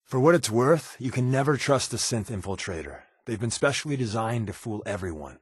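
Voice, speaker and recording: A sardonic secret agent from a video game, quipping in casual slang. His voice sits at a middling pitch and is recorded very dry and close. He comes across as a wry wisecracker working undercover for a resistance. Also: lively intonation, a little fast, breathy edge, no echo. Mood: determined, wry. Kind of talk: video game character voice lines.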